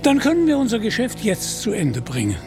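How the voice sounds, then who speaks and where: Guy doing a smug greedy bishop for a storybook, high voice, fake polite and pompous. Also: huge pitch swings, brisk, over music. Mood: oily, mock-polite. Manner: pompous, mock-courteous, condescending. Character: greedy, pompous, gluttonous medieval bishop, smugly unctuous. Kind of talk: audio storybook character voice.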